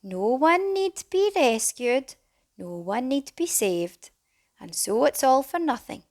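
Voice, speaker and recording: Slow, expressive woman reading to children. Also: sits high. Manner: animated, dramatic, with hushed whispered phrases. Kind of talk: expressive children's storybook narration in rhyme.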